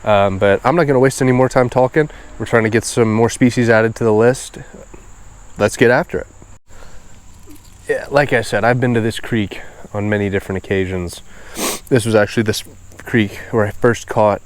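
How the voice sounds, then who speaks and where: A man with a fairly low voice that is clearly congested and nasal. He narrates an outdoor vlog casually, with the easy enthusiasm of a hobbyist host, sounding sniffly as he talks. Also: normal speed. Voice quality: nasal, stuffed-up. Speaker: host of a fishing video series. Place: outdoors beside a small creek.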